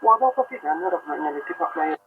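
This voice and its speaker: A man's voice: fairly high pitch, lively intonation, racing. A man reading the news, heard through a shortwave radio receiver. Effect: shortwave radio reception. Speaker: newsreader. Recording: tinny, very noisy shortwave radio reception.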